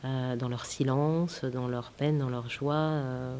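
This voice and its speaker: A woman's voice: a bass voice, steady tone, takes it slowly, mellow. A woman film director in an interview, speaking thoughtfully with many hesitations and restarts, her first language Italian. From France. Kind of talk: festival interview. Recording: faint hiss.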